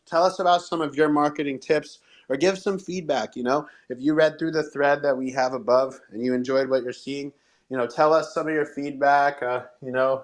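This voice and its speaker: A man hosting a live audio show in an upbeat, encouraging and slightly salesy way. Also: mid-range voice.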